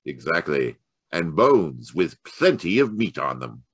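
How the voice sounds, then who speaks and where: An actor playing a cool, precise gentleman detective in an old-time radio mystery. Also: very deep voice, very animated, a little slow, slightly rough, dry recording, exaggerated voice. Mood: genial, brisk.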